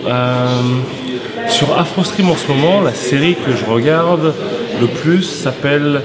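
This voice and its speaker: A man from France, a company head as interview guest, answering in a halting way with many pauses and restarts. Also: on the high side, a loud crowd, poor audio. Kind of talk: hesitant conversational interview answers.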